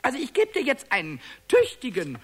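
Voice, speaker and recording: A cheeky little kobold with a squeaky, very high voice that leaps all over the place. The creature is childlike, clumsy and excitable, stammering and repeating words, in the manner of a children's radio play. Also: male voice, brisk, breathy edge, heavily acted. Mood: mischievous, excited.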